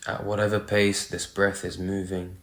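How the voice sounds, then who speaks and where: A man leading a guided meditation, speaking in short phrases with pauses between them. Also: sits low, completely flat delivery, fairly bright tone. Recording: roomy, echoey sound.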